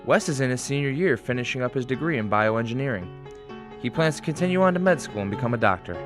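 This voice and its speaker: A man reading scripted dramatic narration as the narrator of a radio play. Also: mid-range voice. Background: music.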